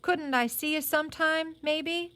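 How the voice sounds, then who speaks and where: A woman's voice: high-pitched, a little slow, bright, forceful tone, voice pushed up. A woman reading a storybook, voicing a hot-headed teenage boy who is blunt and scornful. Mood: awkward, hopeful. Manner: blurting, emphatic, scornful.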